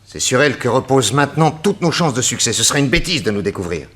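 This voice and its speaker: Self-assured detective chief with animated delivery. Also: male voice, mid-range voice, breathy edge. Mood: calm deduction. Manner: deliberate, reasoning, self-assured. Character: confident male detective chief, calm and bold. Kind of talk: French radio detective drama acting.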